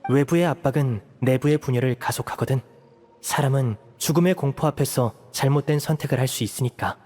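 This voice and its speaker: A man voicing a composed, protective young elder brother in an anime-style game, explaining things in a measured, serious way. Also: mid-range voice, darkish tone, natural voice. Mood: troubled. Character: composed young magician, protective eldest brother, earnest strategist.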